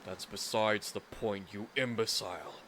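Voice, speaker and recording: Man playing a pompous, menacing inhuman male villain, low-voiced. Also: fairly even intonation, unhurried, a bit bright, a little hiss or hum. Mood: haughty, irritated. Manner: pompous, contemptuous, formal. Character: haughty, menacing inhuman male villain, disembodied head in a jar.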